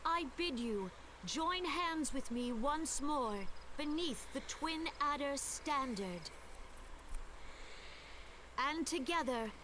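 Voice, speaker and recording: A solemn game leader of a forest realm speaks in a high, measured voice. Her oratory is formal and serene, unhurried throughout, over faint ambient noise. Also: fairly bright tone, exaggerated voice. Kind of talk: formal video game ceremonial speech.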